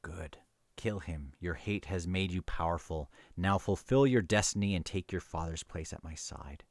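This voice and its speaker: A man reading the part of an evil old Emperor who gloats and commands with slow menace. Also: sits low, vocal fry, dry recording, studio quality. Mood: gloating.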